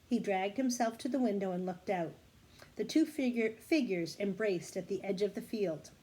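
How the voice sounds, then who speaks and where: A woman's voice: medium pitch, moderate pace, dark tone, slightly rough, low-quality recording. A woman reading a children's storybook aloud expressively, now and then correcting a stumble. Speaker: story reader.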